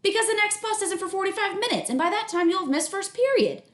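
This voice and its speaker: Woman acting a storybook mother, high-pitched, bossy, exasperated, lively, echoey room. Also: fast talker. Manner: bossy, nagging, resigned. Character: brisk, exasperated mother hurrying her children to school.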